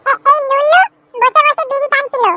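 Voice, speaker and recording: Squeaky, excitable little cartoon boy. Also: brisk, background noise. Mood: whiny denial. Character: mischievous, foul-mouthed little boy, quick to deny blame. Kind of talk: amateur comedy cartoon dubbing.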